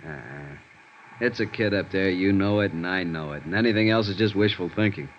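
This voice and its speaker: An actor playing a gruff, tired police sergeant in a vintage radio noir drama, blunt and hard-boiled. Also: male voice, deep voice, fairly quick, natural voice. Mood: weary, resigned. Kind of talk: 1950s radio noir acting. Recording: dull, noisy old radio recording.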